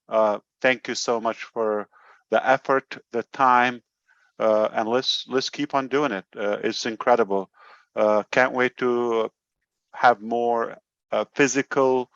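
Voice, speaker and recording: A man speaking informally as a company leader at an online meeting, halting as he searches for words. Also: mid-range voice, fairly even intonation, fairly bright tone, dry recording, dull audio. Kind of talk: informal motivational address and chat.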